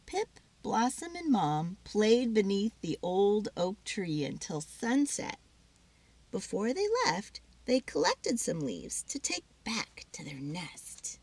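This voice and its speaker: A woman giving an expressive, dramatic read-aloud of a children's story. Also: mid-range voice, takes it slowly, slightly rough.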